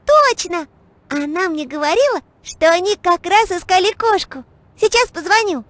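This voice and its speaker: A woman voicing a cheerful, caring little girl who takes charge in a children's educational cartoon, eager and expressive. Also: very high voice, normal speed, no echo. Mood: excited, caring.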